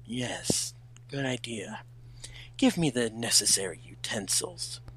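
A man's voice: medium pitch, very animated, slow pace, fairly bright tone, slight background noise, rough recording. A man voicing a cool, commanding hedgehog prince with a dry, curt delivery in an amateur fan fiction reading.

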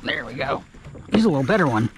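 A man casually talking through a fishing trip from his kayak on a creek, relaxed and full of filler words, recorded on an action camera outdoors. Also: mid-range voice, fast talker. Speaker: fishing guide. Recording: outdoor camera mic, audible background noise.

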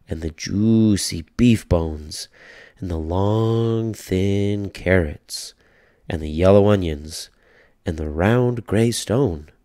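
Male storybook narrator, low pitch, mellow tone, deliberate, rhythmic, expressive. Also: dry recording, studio quality. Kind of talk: children's read-aloud, scripted narration.

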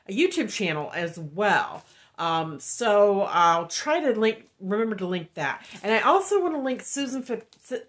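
A woman, chatting casually in a haul vlog and sounding nasal from a head cold, with lots of ums. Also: mid-range voice. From Indiana, USA. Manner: chatty and rambling, many ums, reads cards aloud. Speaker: wax melt reviewer. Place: home room, indoors.

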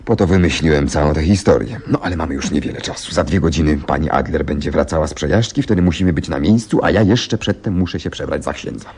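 An actor playing a shrewd, confident gentleman detective in a radio play, speaking in a deliberate, theatrical and self-assured manner. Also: very deep voice, slight background noise. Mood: confident, explaining. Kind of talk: Polish radio play acting.

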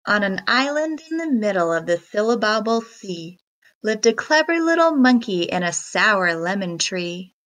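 Bright-voiced woman reading a picture book. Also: medium pitch, big pitch swings, normal speed. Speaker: read-aloud narrator. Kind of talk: children's picture book read-aloud.

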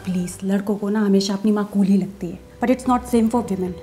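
A woman's voice: medium pitch, normal speed, warm tone, soft background music, close to an everyday voice. A woman playing a nervous young girlfriend. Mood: anxious. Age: young adult. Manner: polite, hesitant, mixes English. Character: nervous young woman meeting boyfriend's mother. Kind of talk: scripted short-film acting.

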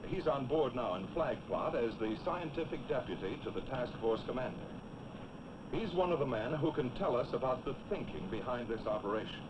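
A man's voice: medium pitch, expressive, brisk. A man in his forties with an American accent, hosting and narrating a scripted 1950s documentary. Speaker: on-camera host and narrator. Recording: muffled, noisy 1950s film soundtrack.

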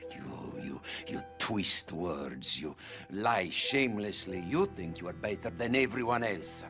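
An actor playing a haughty, mocking Italian crime boss in a video game, with an Italian accent and an imperious, theatrical delivery. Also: male voice, deep voice, lively intonation, unhurried, bright, forceful tone, soft background music, exaggerated voice. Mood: scornful. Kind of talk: video game cutscene acting.

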